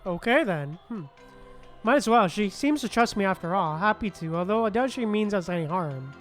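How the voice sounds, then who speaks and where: A woman's voice: mid-range voice, expressive, moderate pace, faint music behind. A woman streaming a game with casual commentary in a giggly, slangy manner.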